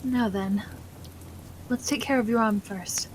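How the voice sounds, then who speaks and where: Genteel young wife and nurse played by a female actor, higher voice, tender and polite, ASMR roleplay with faint rain noise. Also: steady tone, measured pace, close to an everyday voice, low-quality recording. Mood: tender, affectionate. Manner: genteel, tender, old-fashioned polite wording. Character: Irina, genteel caring young wife tending the wounded.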